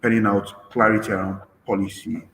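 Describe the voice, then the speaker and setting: A man moderating a panel discussion, who keeps checking in with a quick "right?" as he talks. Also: fairly low pitch, little pitch movement, a bit bright, a little hiss or hum.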